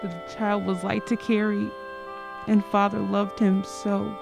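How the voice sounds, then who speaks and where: A woman playing a grieving, tender mother, mournful and halting, in an old-time radio drama. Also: mid-range voice, little pitch movement, vocal fry, music in the background. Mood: wistful grief. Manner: mournful, halting, repeating phrases.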